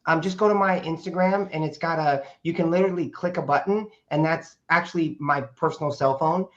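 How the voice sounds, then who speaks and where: A man in his fifties from Portland, Oregon, a raspy-voiced guest, hoarse from a weekend of speaking and telling his story in a rambling, casual way full of fillers and restarts. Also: on the high side, a little fast, fairly bright tone. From Portland, Oregon, USA. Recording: dull lo-fi audio.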